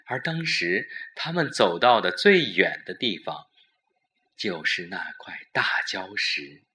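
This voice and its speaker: A man narrating a scripted audio drama with measured, suspenseful phrasing and a dramatic delivery. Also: low voice, expressive, sharp tone.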